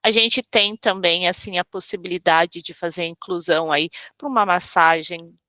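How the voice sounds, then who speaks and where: Female webinar trainer, Brazilian accent, slightly under the weather with a cold. Bright, crisp-edged delivery, friendly explainer, dry recording. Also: fairly low pitch, fairly even intonation, normal speed, dry recording. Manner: friendly, informal, explanatory with frequent check-ins. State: has a cold. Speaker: webinar host and software trainer. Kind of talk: live webinar presentation.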